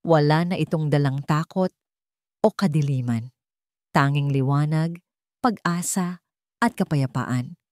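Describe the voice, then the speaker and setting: A woman reading a sentimental love story as a scripted narration, in a measured, earnest storytelling manner. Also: deep voice, a little mellow, no room sound, clean studio sound.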